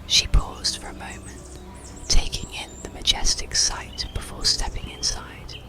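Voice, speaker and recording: A narrator reading an ASMR bedtime story in a calm, breathy, soothing whisper, unhurried throughout. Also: music in the background. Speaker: audiobook narrator.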